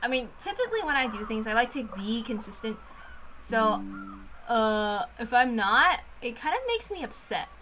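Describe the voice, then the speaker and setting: A woman's voice: on the high side, very animated, normal speed, sharp tone, slight background noise, low-quality recording. A woman reading a visual novel aloud, moving between casual chat and story narration.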